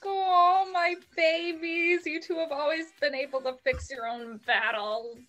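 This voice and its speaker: A woman's voice: high-pitched, steady tone, a little slow, fairly bright tone, soft background music. A young woman playing a doting, blunt, sassy fifty-year-old mother in a play reading over a video call. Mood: tender. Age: young adult. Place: home bedroom, indoors. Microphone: video call on computer.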